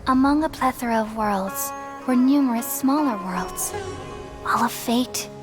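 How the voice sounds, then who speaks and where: A woman playing a serene, benevolent goddess in a video game, telling her story in a solemn, tender, measured way. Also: a little high, natural voice. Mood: tender, wistful. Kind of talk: English video game voice acting. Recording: music behind the voice. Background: clear background music.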